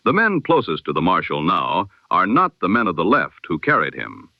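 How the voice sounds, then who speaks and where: Male announcer for a vintage 1920s-style radio bulletin: formal and solemn, lowish voice, dull lo-fi sound. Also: wildly swooping pitch, no room sound. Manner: formal, measured, solemn delivery. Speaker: news announcer of an audio history broadcast.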